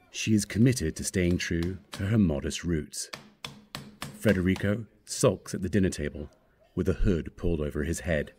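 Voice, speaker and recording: A man's voice: deep voice, a little mellow. A man reading screen directions aloud as scripted narration for a screenplay.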